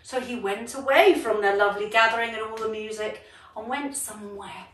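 A woman's voice: on the high side, fairly quick. A middle-aged woman leading a children's drama class and story time, encouraging and exclamatory as she speaks directly to young children. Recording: roomy echo, distant microphone, music.

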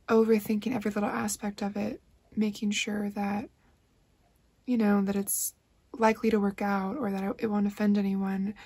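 A woman's voice: mid-range voice, dark tone, slightly rough. A young woman vlogging casually in a small wooden cabin, chatty and self-reflective, often laughing as she talks. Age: young adult. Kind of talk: casual vlog chat.

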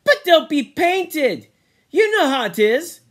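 A man's voice: very high-pitched, very animated, slow pace, fairly bright tone, pitched above the performer's own voice, heavily acted. A man voicing a timid, worried young twin boy who protests in schoolboy slang, in a storybook reading. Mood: protesting.

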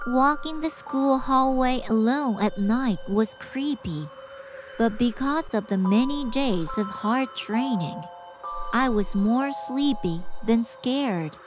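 A woman voicing a frightened schoolgirl who narrates her own night of terror in an animated horror story. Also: a little high, slow, over music. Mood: uneasy. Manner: hushed, breathy fear rising to screams.

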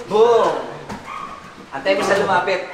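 Filipino male fight coach, higher-pitched, energetic counting and punchy sound effects, very reverberant kitchen. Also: unhurried. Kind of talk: hands-on fight choreography instruction. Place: hard-walled home kitchen, indoors. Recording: echoey room, boomy reverb, slight noise.

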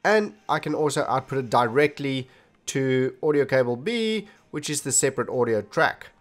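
Guy doing a computer how-to, mid pitched voice, talks you through each step. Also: lively intonation, moderate pace, faint music behind. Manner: instructional, addresses viewers directly. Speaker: tech tutorial host. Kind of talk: scripted-feeling screen tutorial narration, step by step. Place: indoors at a desktop computer, voice recorded while demonstrating. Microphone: desktop computer microphone.

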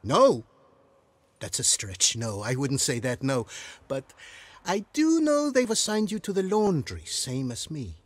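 An actor voicing a roguish, swaggering prison inmate in a video game, theatrical and chatty. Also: male voice, mid-range voice, huge pitch swings, a little slow, dry recording. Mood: smug, teasing. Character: cocky, roguish male jailbird, self-styled prison king.

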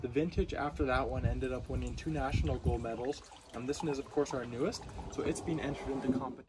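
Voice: mid-range voice, fairly even intonation, fast talker, very noisy. A winery tasting host explaining the wines in an upbeat, informative way.

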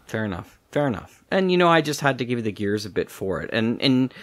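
A man's voice: medium pitch, very animated, fast, fairly bright tone, no echo. A man hosting a casual interview, talking in a rambling, encouraging way. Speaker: podcast host, interviewer.

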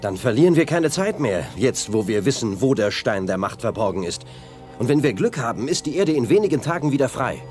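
An actor playing an earnest, brave young space hero with dramatic delivery in a children's radio play. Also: male voice, mid-range voice, sing-song, fairly quick, music in the background, close to an everyday voice. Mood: resolute.

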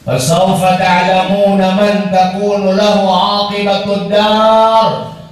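A man's voice: sits high, level tone. Indonesian guy preaching friday sermon, emphatic and formal, super echoey, sounds far off. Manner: measured, emphatic oratory. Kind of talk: formal sermon with Arabic recitation. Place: indoor mosque prayer hall. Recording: very echoey hall, distant, faint noise.

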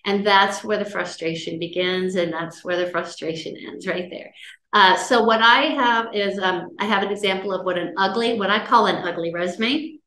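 A middle-aged woman with a loud voice giving a casual career-services talk, chatty and conversational, often checking in with her listeners. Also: medium pitch, fairly even intonation, moderate pace, slight background noise. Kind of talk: casual lecture on job searching. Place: office, indoors, on a video call. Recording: roomy video-call audio.

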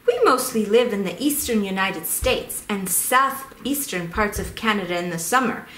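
A woman's voice: mid-range voice, expressive, moderate pace, fairly bright tone, a little hiss or hum, close to an everyday voice. A woman voicing a cheerful male songbird in an educational children's puppet show, chirpy and explanatory. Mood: cheerful, informative. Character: cheerful male indigo bunting bird, proud father-to-be. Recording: roomy echo.

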